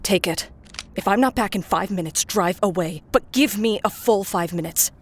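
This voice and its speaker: A woman's voice: medium pitch, normal speed, natural voice. An actress playing a bossy, reckless young woman on the run, speaking in terse commands in an audio drama. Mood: urgent, commanding.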